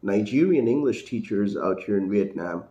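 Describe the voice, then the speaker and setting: A man's voice: on the low side, expressive, moderate pace, mellow, echoey room. A man from India with a neutral American accent, an English teacher talking casually to camera, rambling with lots of fillers and restarts. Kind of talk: casual talking-head vlog.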